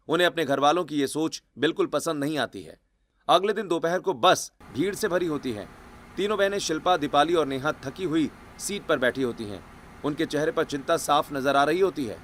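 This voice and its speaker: A male storyteller with a fairly high-pitched voice. He delivers scripted, dramatic narration for a cartoon tale. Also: expressive, fairly quick, fairly bright tone, slight background noise.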